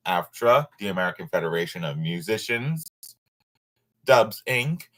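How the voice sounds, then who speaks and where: A man reading a nonfiction book aloud informally, sometimes halting over words and adding asides. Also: low voice, animated, a bit bright, low-quality recording.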